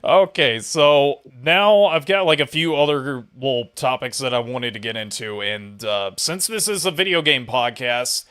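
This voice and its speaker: A man's voice: medium pitch, normal speed, hardly any pauses, a bit bright, clean tone. A man from the United States hosting a podcast, chatting casually and interviewing his guest. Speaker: podcast host.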